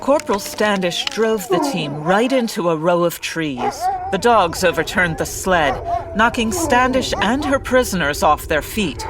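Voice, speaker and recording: A woman's voice: medium pitch, very animated, a little slow, heavy background noise. A woman playing an earnest narrator of an old-time radio adventure serial, reading scripted dramatic narration. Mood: suspenseful.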